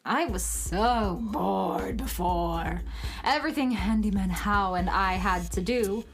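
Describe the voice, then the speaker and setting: A woman's voice: medium pitch, slow pace. A woman playing a bratty, scheming young assistant turned villain in a children's radio drama, haughty and taunting. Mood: gleeful scheming.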